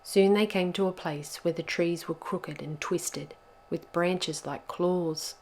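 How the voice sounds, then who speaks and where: A woman narrating a children's picture book as a storyteller, in a deliberate and expressive manner. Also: fairly low pitch, a little hiss or hum.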